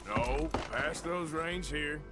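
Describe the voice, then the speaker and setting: Slow-drawling gruff cowboy outlaw. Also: male voice, fairly high pitch. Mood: wary, stern. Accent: American West, rural. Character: gruff, level-headed cowboy outlaw, dryly teasing. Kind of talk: dramatic video game acting. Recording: music under dialogue.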